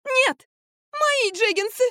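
A woman's voice: very high voice, lively intonation, slow pace, bright, forceful tone, dry recording, clean studio sound, pitched above the performer's own voice, exaggerated voice. A woman voicing a whiny, melodramatic young actress in an audiobook, groaning and strained as she wails. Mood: outraged wailing. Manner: wailing, melodramatic.

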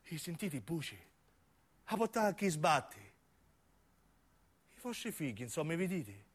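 An animated male stage narrator with a southern Italian accent. His voice sits fairly high and swings widely in pitch as he tells a story dramatically, moving between intimate narration and acted dialogue. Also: measured pace, husky. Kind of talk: theatrical radio monologue storytelling.

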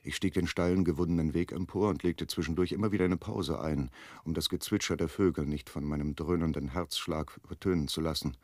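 A man's voice: very deep voice, fairly even intonation, brisk, dry recording. An actor playing an anxious, hesitant man who narrates his own crime story in a German radio play. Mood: measured, reflective.

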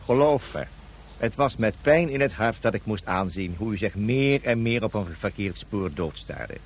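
An actor playing a formal, officious minister of a tribe in a Dutch science fiction radio drama, stately and courteous in phrasing. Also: male voice, on the low side, animated, moderate pace, a little hiss or hum. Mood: solemn regret.

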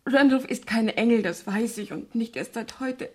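Husky, troubled young woman. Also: on the high side, moderate pace. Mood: earnest, defensive. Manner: hesitant, earnest. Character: troubled, conflicted young woman, polite but stubbornly loyal. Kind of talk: 1970s German radio crime drama acting.

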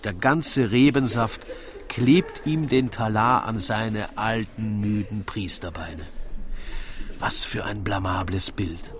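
A man narrating a scripted rhyming story in a theatrical, deliberate storytelling manner. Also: fairly low pitch, a little hiss or hum.

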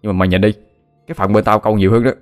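A man voicing a hot-tempered, foul-mouthed young fisherman, shouting and cursing. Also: lowish voice, level tone, racing, faint music behind, natural voice. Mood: insistent.

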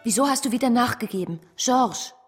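A woman's voice: a little high, fairly quick, husky, soft background music. An actress playing a wary, principled woman in a radio drama, speaking with earnest reproach. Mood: worried, reproachful. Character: wary, principled woman, a cautious but combative sister.

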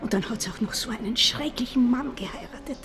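A woman's voice: on the high side, fast, gravelly. A woman acting as a chatty, sentimental grandmother with an Austrian accent in a radio drama. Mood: wistful. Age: older.

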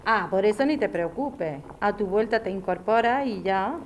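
A woman's voice: medium pitch, animated, normal speed, slight background noise, close to an everyday voice. A woman acting an older, practical, affectionate grandmother from Spain in a podcast drama, speaking frankly and coaxingly. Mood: reassuring. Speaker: actor in an audio drama.

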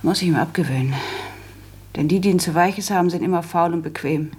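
An actress playing a self-assured, practical daughter in a German radio drama, speaking in a brisk, matter-of-fact, managing way. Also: female voice, lowish voice, a little mellow, lightly acted. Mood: firm, dismissive.

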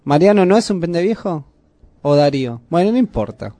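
A man's voice: fairly high pitch, sing-song, normal speed, mellow, no room sound. An Argentine man hosting a radio show, chatting casually at a studio microphone. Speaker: radio host.

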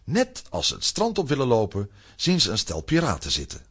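A man narrating a children's adventure story from a script in a husky voice with dramatic delivery. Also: medium pitch, wildly swooping pitch, a little fast, fairly bright tone.

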